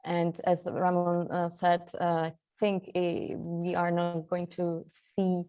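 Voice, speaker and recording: A woman's voice: fairly low pitch, monotone, slow pace, dark tone. A woman from Central Europe speaking as a guest expert on a webinar panel over a video call, with many fillers and restarts.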